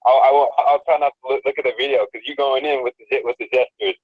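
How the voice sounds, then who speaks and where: American dude calling in, rambly and hesitant, thin phone-line sound. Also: male voice, mid-range voice, steady tone, fast talker, slightly rough, no room sound. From United States. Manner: rambling, hesitant, many restarts. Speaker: caller. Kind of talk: casual call-in talk. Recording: tinny phone-line call.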